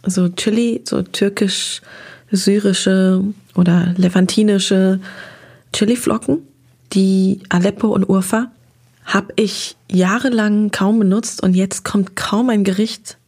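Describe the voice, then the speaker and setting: Enthusiastic woman with a gravelly edge. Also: medium pitch, slow pace. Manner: lively, enthusiastic about food, talks in long flowing sentences. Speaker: interview guest, restaurant critic. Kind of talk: relaxed conversational interview.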